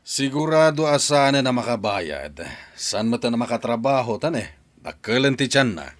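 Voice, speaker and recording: An actor with an Ilocano accent playing a practical, questioning married landlord in an audio drama. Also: male voice, medium pitch, lively intonation, moderate pace, close to an everyday voice. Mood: skeptical.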